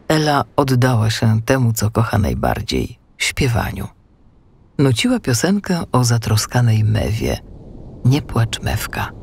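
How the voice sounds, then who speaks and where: A man narrating a children's audiobook in an expressive, scripted storytelling style. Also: mid-range voice, gravelly, slight background noise.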